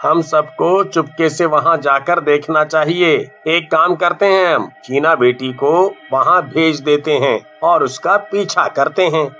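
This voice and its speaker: A man voicing an alarmed, take-charge villager in a cartoon story, emphatic and excitable. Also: a little high, takes it slowly, hardly any pauses. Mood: urging. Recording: muffled band-limited audio, music behind.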